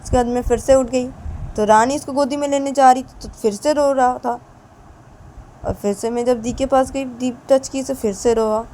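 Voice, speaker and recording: A woman voicing an anxious young father who scolds and fusses in a shrill, high voice. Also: fairly even intonation, normal speed, vocal fry, long pauses, dark tone, slight background noise. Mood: complaining.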